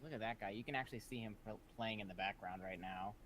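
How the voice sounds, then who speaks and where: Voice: medium pitch, fast, a bit bright. A tabletop game player chatting casually with friends. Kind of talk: casual chat. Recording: faint noise behind.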